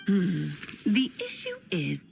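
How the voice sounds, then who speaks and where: A woman's voice: mid-range voice, huge pitch swings, slow, gravelly, a little mellow, faint music behind, exaggerated voice. A woman voicing a caring, inquisitive magical lady in a video game, speaking in a theatrical, thoughtful way. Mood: cautioning. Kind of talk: scripted game story dialogue.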